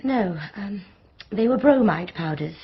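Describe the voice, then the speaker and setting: A woman's voice: mid-range voice, animated, unhurried, breathy edge, lo-fi sound, full character voice. An actress playing a girlish, nervous young woman, slightly defensive, in a radio drama. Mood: curious, uneasy.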